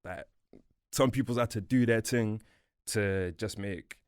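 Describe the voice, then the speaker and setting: Laid-back young man, Portuguese-born, low voice. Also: unhurried, clean tone, no echo, studio quality. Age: young adult. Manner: laid-back street slang, fillers like "you feel me", "bro". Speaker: guest. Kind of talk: casual interview chat. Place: podcast studio.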